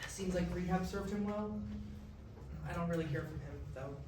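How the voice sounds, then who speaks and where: Awkward young college grandson acted by a man, casual and hesitant, in a very reverberant room with clear noise. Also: high-pitched, moderate pace, a little mellow, natural voice. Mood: dejected. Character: awkward, self-blaming male college student grandson. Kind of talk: staged musical reading, naturalistic dialogue. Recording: very echoey distant room, noisy.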